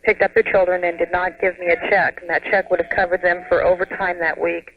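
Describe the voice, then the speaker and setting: A woman's voice: fairly low pitch, little pitch movement, quick pace. American female radio caller, earnest and explanatory, muffled telephone sound. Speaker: caller, home daycare operator. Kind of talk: casual phone-in explanation. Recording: muffled phone line on radio.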